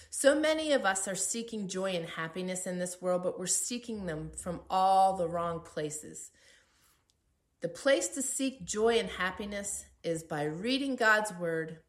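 A woman hosting a faith podcast, speaking in an earnest, emphatic way with deliberate repetition as she gives personal testimony and encouragement. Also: fairly low pitch, fairly bright tone. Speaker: podcast host. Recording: roomy, echoey sound.

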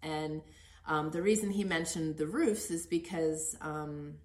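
A woman's voice: low-pitched, measured pace, reverberant. A middle-aged woman hosting a casual conversational interview in an encouraging manner. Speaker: podcast host interviewing her son.

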